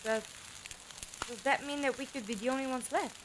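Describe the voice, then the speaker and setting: A woman playing a defiant young companion in an audio drama, youthful and expressive, with earnest, pointed questioning. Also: high-pitched, normal speed, close to an everyday voice. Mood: subdued, doubtful. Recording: background ambience noise.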